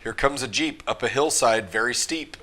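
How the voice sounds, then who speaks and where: A man's voice: mid-range voice, normal speed, bright, forceful tone. A man reading a rhyming picture book aloud to children with an emphatic, rhythmic delivery. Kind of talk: animated children's story read-aloud.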